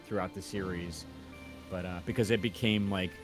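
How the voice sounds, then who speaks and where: A man in casual conversation, rambling and halting in a modest, self-effacing way. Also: low-pitched, fairly even intonation, music in the background. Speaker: audio drama creator and podcast host; university audio teacher. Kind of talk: casual chat.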